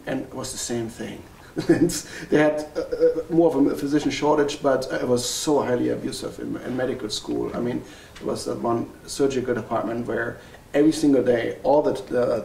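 A German-accented man in his forties speaks earnestly, pausing on ums and correcting himself as he goes. His voice carries a gravelly edge. It sounds roomy and a bit far from the mic, with a faint hiss underneath. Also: medium pitch, mellow. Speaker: family physician. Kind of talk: interview storytelling. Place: wood-paneled room indoors.

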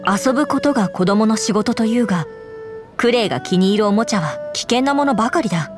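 A woman's voice: a little high, expressive, lightly acted. Woman acting an earnest, formal lady knight commander. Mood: composed, thoughtful. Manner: formal, measured, earnest speech. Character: earnest, dutiful young female knight commander. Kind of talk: Japanese game character voice lines. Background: background music.

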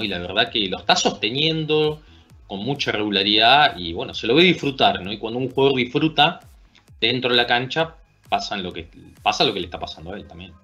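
A man's voice: on the low side, sharp tone. Thoughtful Argentine man talking basketball. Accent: Argentine Spanish. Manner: thoughtful, tag questions. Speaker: basketball analyst. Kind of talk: casual sports discussion. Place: small room at home. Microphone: video call.

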